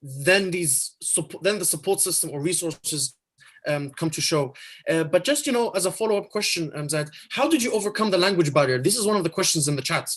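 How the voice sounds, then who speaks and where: A man's voice: on the high side, expressive, a little fast, a bit bright. A man moderating a live online panel, asking questions with many hesitant fillers.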